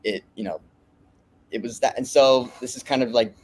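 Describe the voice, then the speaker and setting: A man on a video call chatting casually with a panel, speaking thoughtfully with many pauses and fillers. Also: medium pitch, a little hiss or hum. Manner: thoughtful, halting, many "you know"s. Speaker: panelist on a live stream. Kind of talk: casual group chat.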